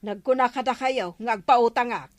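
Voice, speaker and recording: Firm lady shopkeeper played by an actress, really high voice, comedy radio drama. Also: moderate pace, natural voice. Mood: firm, dismissive. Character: firm village councilwoman who runs a grocery store. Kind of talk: comic radio drama acting.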